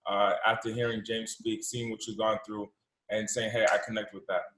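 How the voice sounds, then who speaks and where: A man's voice: on the low side, little pitch movement, a bit bright. Enthusiastic male host in a roomy space. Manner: enthusiastic, repeats himself, halting restarts. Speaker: podcast host. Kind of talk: interview conversation. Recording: roomy echo.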